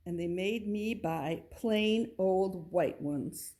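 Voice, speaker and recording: A woman reading a children's picture book aloud, with expressive delivery and little asides to the listener. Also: mid-range voice, takes it slowly. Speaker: storybook reader.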